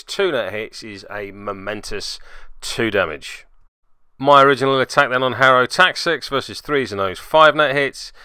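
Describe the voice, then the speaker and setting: Clear, dryly sarcastic man commentating a game. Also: mid-range voice, pushed and bright, no room sound. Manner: dry, self-mocking, sarcastic humour. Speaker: player and narrator of a tabletop game match.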